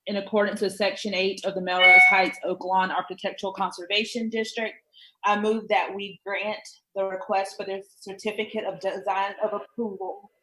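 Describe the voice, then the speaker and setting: A woman's voice: mid-range voice, a bit bright, a little hiss or hum, low-quality recording. A woman serving as a commission member, deliberating and stating motions in a formal meeting.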